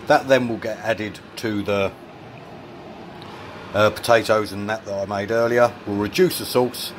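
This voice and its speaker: Male home cook, lowish voice, easygoing self-mocking explainer, filmed in a small kitchen. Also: fairly even intonation, unhurried, a little hiss or hum. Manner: chatty, self-mocking, explains each step. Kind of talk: casual cooking narration.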